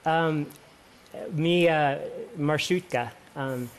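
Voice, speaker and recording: A man's voice: on the high side, extremely slow, a little hiss or hum. American man hesitating in broken Russian. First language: English. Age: 40s. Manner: hesitant, many fillers, short replies. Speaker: show guest. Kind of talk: halting answers in broken Russian. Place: large television studio.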